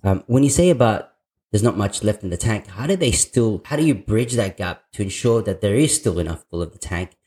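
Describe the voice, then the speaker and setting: A man's voice: on the low side, lively intonation, fairly quick, a little mellow. A man from Australia hosting a podcast interview, enthusiastic and prone to restarting his sentences. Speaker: podcast host.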